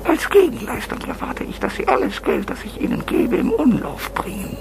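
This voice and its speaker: Whispery, creaky menacing crime boss. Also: male voice, high-pitched, wildly swooping pitch, crowd noise, heavily acted. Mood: cold menace. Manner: deliberate, commanding. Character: mysterious menacing male blackmailer, controlled, secretive crime boss. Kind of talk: crime radio play acting. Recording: noisy vehicle ambience.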